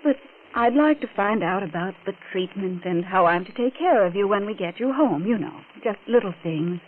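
An actress playing an anxious, placating wife in a 1940s radio melodrama, earnest and pleading in manner. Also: female voice, medium pitch, expressive, normal speed, lightly acted. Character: anxious, placating wife hiding her worry. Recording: scratchy, narrow-band 1940s radio recording.

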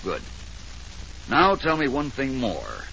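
An actor playing a confident, shrewd private detective, speaking with assured, deliberate command in 1940s radio drama style. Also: male voice, medium pitch, wildly swooping pitch, vocal fry, sharp tone, heavily acted. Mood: assured, probing. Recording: noisy vintage 1940s radio recording.

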